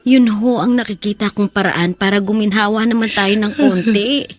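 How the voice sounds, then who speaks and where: An actress playing a shy, dutiful nineteen-year-old with a Filipino accent, polite and earnest, in a radio drama. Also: female voice, medium pitch, moderate pace, no echo, lightly acted. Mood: pleading, sorrowful.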